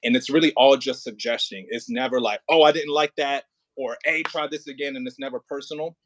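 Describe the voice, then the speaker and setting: A man working as an audio play director and acting coach, talking casually and informally, with halting pauses and emphatic repetition. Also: medium pitch, fairly even intonation, a bit bright, no room sound.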